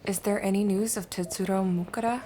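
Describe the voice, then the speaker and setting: A woman's voice: medium pitch, fairly even intonation, a little fast, mellow, slight background noise, close to an everyday voice. A woman playing a doctor's anxious, conscientious assistant in a horror manga dub, speaking earnestly and uneasily. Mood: concerned.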